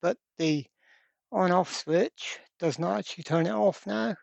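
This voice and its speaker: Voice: mid-range voice, a little slow, vocal fry, darkish tone, no room sound, highs cut off. A presenter giving a casual workbench repair demonstration, thinking aloud step by step in an informal way. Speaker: electronics repair hobbyist presenter.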